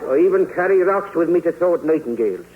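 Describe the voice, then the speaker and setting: An actor playing a blustering, curmudgeonly old Irish bore with grandiose speech in a 1940s radio comedy. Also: male voice, fairly high pitch, lively intonation, fast talker, slight background noise, close to an everyday voice. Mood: sour. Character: blustering Irish grouch, self-declared bitter old bore. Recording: thin, tinny old radio broadcast.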